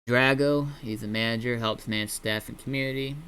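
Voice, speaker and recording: A man who streams, talking casually in a halting, rambling way and often backtracking mid-sentence. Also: mid-range voice, fairly even intonation, fairly bright tone, clean tone, low-quality recording. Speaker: streamer and roleplay server founder. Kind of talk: casual livestream chat.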